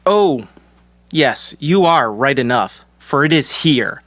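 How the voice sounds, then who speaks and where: An actor playing a helpful, knowing old woodcutter in an audio folktale, kindly and instructive. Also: male voice, medium pitch, expressive, takes it slowly, a bit bright, no room sound. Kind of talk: audio storybook character acting.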